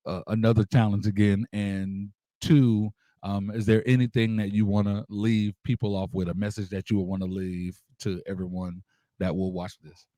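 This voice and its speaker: A man's voice: fairly low pitch, mellow, no echo. An American man hosting an interview in a casual, slangy, effusive style. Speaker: interviewer, radio show host.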